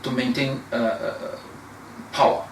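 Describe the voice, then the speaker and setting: Young guy from cameroon arguing his point slowly, roomy echo, sounds a bit far from the mic. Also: mid-range voice, fairly even intonation, vocal fry. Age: young adult. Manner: measured, argumentative, with frequent pauses and restarts. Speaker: interview guest, law researcher. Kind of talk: interview discussion. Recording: echoey room, voice off-mic, faint noise.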